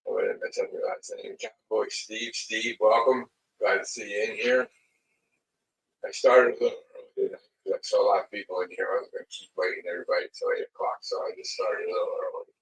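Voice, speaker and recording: A man with a slightly raspy voice hosting a casual livestream in a halting, unhurried manner. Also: on the low side, fairly even intonation, a little hiss or hum. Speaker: livestream host. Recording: muffled lo-fi audio, distant mic.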